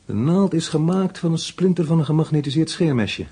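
A man's voice: a little high, expressive, fairly quick, warm tone, breathy edge. An actor playing a methodical intelligence officer briefing his superior in a radio drama, explaining in an insistent way.

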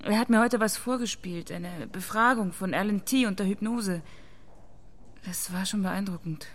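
An actress as a sceptical female journalist in a radio drama. She speaks at a medium pitch, measured and matter-of-fact, keeping a cool head as she questions and reports. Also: lightly acted. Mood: subdued, reporting.

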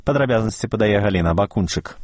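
A man presenting the news on radio in a measured, formal way. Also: lowish voice, expressive, a little mellow, no room sound. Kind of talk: scripted news reading. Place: radio studio.

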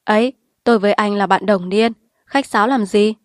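A woman reading a story aloud, voicing a calm, measured middle-aged male village official. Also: medium pitch, dry recording, clean studio sound, natural voice.

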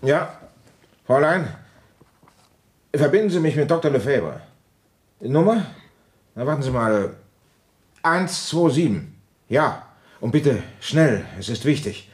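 An actor playing a gruff, resigned, middle-aged French police commissioner in a German radio play, with a sardonic, grumbling way of talking. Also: male voice, medium pitch, lively intonation, slow, pauses often. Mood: brisk, official. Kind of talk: German radio play acting and first-person narration.

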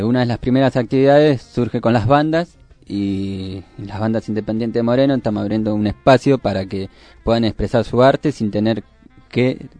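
A man with a Buenos Aires area Argentine accent explaining things haltingly as a guest in a radio interview. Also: on the low side, dark tone, no echo. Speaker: studio guest, youth cultural organiser.

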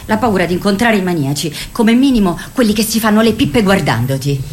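A woman's voice: medium pitch, expressive, a little fast, slight background noise, close to an everyday voice. An actress playing a frank woman who recounts frightening girlhood memories in a radio theatre monologue. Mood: fearful, disgusted.

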